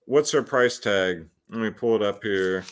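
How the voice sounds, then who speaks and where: A man's voice: fairly low pitch, unhurried. A man hosting a fight picks show, talking casually and slangily to his viewers as guys while he gives predictions. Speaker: host of a fight picks and fantasy sports show. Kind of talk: casual solo fight predictions and betting commentary.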